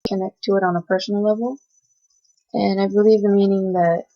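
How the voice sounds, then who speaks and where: Young woman giving a halting class presentation. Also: medium pitch, fairly even intonation. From United States. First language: Cantonese. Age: young adult. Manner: reads from notes with frequent hesitations. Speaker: student presenter. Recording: dull lo-fi recording.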